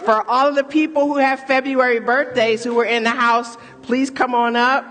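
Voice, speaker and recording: A woman's voice: on the high side, steady tone, moderate pace. A woman leading a church service, speaking to the congregation with informal, playful asides. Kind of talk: warm-up announcements and call-and-response to a congregation. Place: large church hall, amplified to a congregation. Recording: echoey hall, loud music and congregation.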